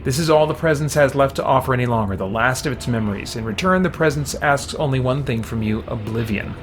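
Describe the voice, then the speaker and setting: A man hosts a game stream in a casual, think-aloud way, moving between reading on-screen text and talking to viewers. His voice sits at a middling pitch, with some faint noise in the background. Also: fast.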